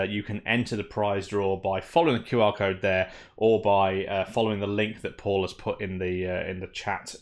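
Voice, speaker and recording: A man in his forties hosts a live-streamed tech meetup with a slightly low voice. He talks chattily, with lots of uhs and ums. He drops in wry asides along the way. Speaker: meetup organiser and host.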